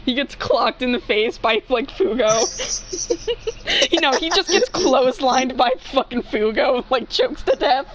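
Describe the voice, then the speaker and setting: A woman's voice: high-pitched, lively intonation, measured pace. A young woman casually reading fanfiction aloud with joking banter, giggly and free with swearing. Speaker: fanfiction reader.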